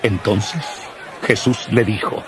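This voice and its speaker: A man narrating scripture from a script in a solemn, measured manner. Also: fairly low pitch, fairly even intonation, a crowd in the background, background noise. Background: music.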